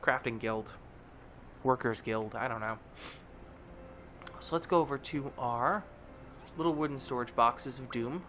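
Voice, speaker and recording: A man's voice: mid-range voice, a little slow, long pauses, a bit bright, a little hiss or hum. A man with a stuffy, nasal voice giving casual, joking commentary as a let's play host. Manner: chatty, joking, with many fillers.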